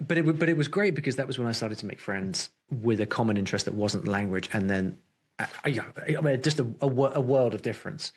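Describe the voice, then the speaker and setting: A man's voice: mid-range voice, animated, fast, slightly rough. A man hosting a podcast interview, thinking aloud with frequent restarts and fillers. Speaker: podcast host.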